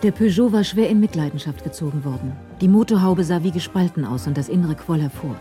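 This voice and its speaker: A woman narrating a crime radio drama in a cool, measured, literary style. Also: on the low side, warm tone, faint music behind, lightly acted.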